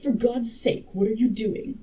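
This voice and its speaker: A woman's voice: on the high side, fairly even intonation, measured pace, warm tone, close to an everyday voice. Woman voicing an anxious husband. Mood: alarmed. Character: worried husband, adult man, pleading. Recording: distant mic, faint room noise.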